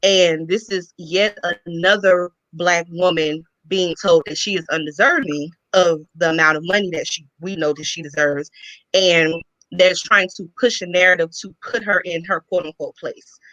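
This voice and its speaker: Bright-voiced, emphatic woman commentator. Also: fairly low pitch, fairly even intonation, normal speed, no echo. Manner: earnest, emphatic. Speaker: guest commentator. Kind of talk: impassioned commentary. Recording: dull lo-fi call audio.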